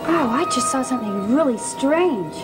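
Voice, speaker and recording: A young actress playing an evasive, unsettled drifter with a hesitant, vague manner in a soap opera. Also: female voice, sits high, lively intonation, normal speed. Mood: puzzled, uneasy. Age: young adult. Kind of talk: television soap opera acting. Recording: vintage TV soundtrack with loud music.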